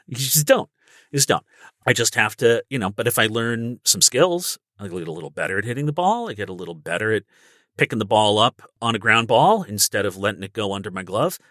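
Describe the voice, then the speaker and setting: Animated man with a bright, low voice. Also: dry recording, studio quality. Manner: emphatic, explains through everyday analogies. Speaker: guest voice actor and audiobook narrator. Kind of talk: casual instructional podcast conversation.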